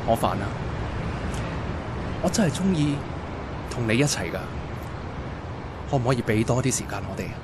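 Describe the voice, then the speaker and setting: A man's voice: medium pitch, big pitch swings, normal speed, pauses often, natural voice. A man playing a persistent, pleading young suitor in a Hong Kong Cantonese radio drama, mixing in English words. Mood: earnest persuasion. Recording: loud street traffic behind the voice.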